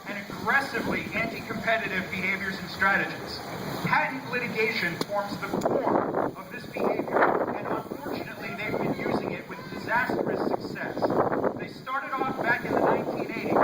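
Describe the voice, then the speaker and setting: American male politician, loud and high-voiced, speech at outdoor rally, distant mic, heavy noise. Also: reverberant. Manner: loud-voiced, conversational with hesitations at first. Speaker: state representative giving a speech. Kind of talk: public speech at an outdoor rally, from prepared remarks. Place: outdoors at a rainy-day rally. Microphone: public-address microphone, recorded from a distance.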